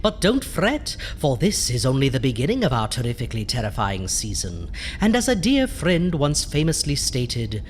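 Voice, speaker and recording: An actor playing a campy horror storyteller, theatrical and relishing every word as host of an audio show. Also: male voice, medium pitch, huge pitch swings, moderate pace, hardly any pauses, slight background noise. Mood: gleefully macabre.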